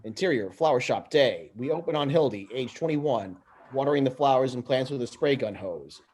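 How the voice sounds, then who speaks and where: Man, script narrator, medium pitch, reading scene descriptions aloud. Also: expressive, moderate pace, darkish tone, slightly rough. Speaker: narrator of stage directions.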